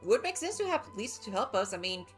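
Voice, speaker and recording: A woman's voice: mid-range voice, very animated, quick pace. A woman livestreamer giving casual gameplay commentary, chatty and musing aloud. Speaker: game livestreamer. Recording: game music behind.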